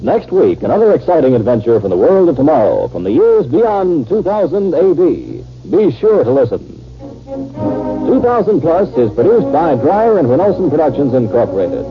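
Animated 1950s radio announcer man over music. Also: on the high side, normal speed. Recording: muffled 1950s radio recording.

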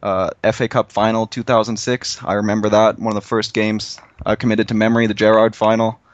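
A man's voice: lowish voice, a little fast, no echo. Young man, dry lo-fi voice. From Canada. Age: young adult. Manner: relaxed storytelling with fillers. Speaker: podcast guest. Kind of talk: casual podcast chat.

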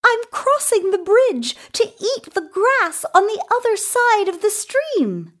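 A woman voicing a small, innocent young male billy goat in a children's story, squeaky and earnest. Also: big pitch swings, takes it slowly, a bit bright, exaggerated voice. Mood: innocent, eager.

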